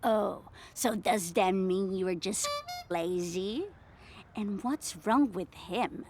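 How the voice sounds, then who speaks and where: A woman playing a haughty, easily outraged alien in a comic sci-fi audio play, with theatrical, drawn-out delivery. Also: mid-range voice, background noise. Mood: haughty, suspicious.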